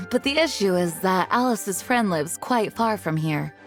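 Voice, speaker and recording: A woman voicing a composed, courteous female knight captain in a video game, formal and measured, with game music behind. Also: medium pitch, expressive. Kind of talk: video game cutscene voice acting.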